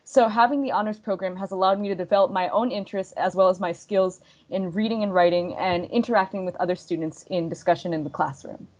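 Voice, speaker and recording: A woman's voice: medium pitch, fast, darkish tone, lo-fi sound. A young woman, a first-year student, giving an articulate, composed panel answer. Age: young adult.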